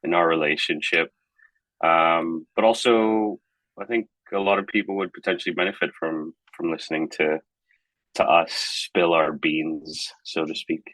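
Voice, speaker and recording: Deep-voiced, bright-toned man from Hong Kong. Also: steady tone, normal speed, dry recording, low-quality recording. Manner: rambling, hesitant, thoughtful. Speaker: podcast co-host. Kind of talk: casual chat.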